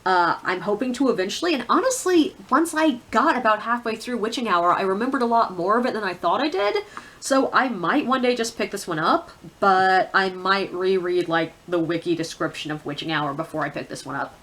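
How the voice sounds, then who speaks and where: A woman's voice: mid-range voice, lively intonation, brisk, a bit bright. Casual, opinionated woman book vlogger. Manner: opinionated, informal, swears casually. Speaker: book reviewer. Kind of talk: casual chatty vlog monologue.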